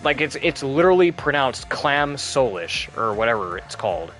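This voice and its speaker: A man's voice: mid-range voice, very animated, moderate pace, a bit bright, faint music behind, low-quality recording. A man giving casual, chatty commentary while playing a video game, rambling and often restarting his sentences. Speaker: gameplay commentator. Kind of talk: casual let's play commentary.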